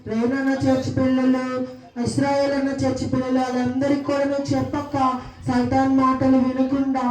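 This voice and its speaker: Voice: high-pitched, a little mellow, natural voice. A performer voicing a humble, repentant young boy puppet, pleading earnestly in a children's gospel puppet show. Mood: earnest, urging. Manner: pleading, earnest, repetitive prayerful address. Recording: echoey live hall with music behind.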